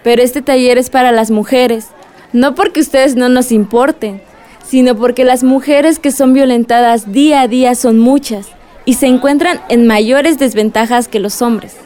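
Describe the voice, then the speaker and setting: Radio serial activist played by a woman: young, earnest, very high but mellow voice. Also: normal speed, a faint crowd, close to an everyday voice. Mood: earnest, concerned. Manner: earnest, reporting. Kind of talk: radio drama acting.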